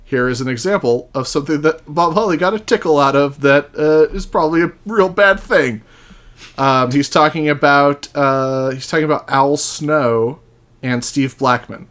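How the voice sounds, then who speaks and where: A man's voice: fairly high pitch, moderate pace, fairly bright tone. A man speaking as a podcast guest, chatting casually and reading passages from a book aloud. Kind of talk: casual chat with read-aloud book excerpts.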